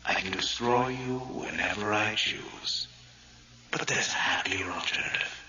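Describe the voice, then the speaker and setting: A man plays a menacing dead twin brother in a horror audio drama. His low voice moves slowly and mockingly, often slipping into a gloating whisper. The recording is dull and lo-fi. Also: heavy background noise, natural voice. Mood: mocking, threatening. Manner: slow, taunting, often drops to a whisper.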